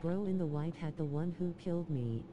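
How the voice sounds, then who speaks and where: A woman's voice: deep voice, fairly quick, noisy background. A synthetic woman's voice reading chat messages aloud in a machine style, spelling things out letter by letter. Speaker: text-to-speech reader of live chat.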